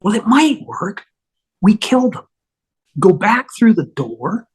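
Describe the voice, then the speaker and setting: A woman reading the part of a flustered, apologetic male otherworldly schemer, husky and halting as she stammers through explanations. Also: mid-range voice, sing-song, a little mellow, full character voice. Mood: conspiratorial, morbid. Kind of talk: amateur script table read.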